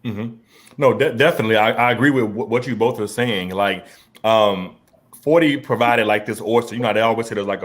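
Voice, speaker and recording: A man in his forties hosting a casual conversation, laid-back and slangy, often repeating words as he talks. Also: medium pitch. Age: 40s. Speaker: podcast host.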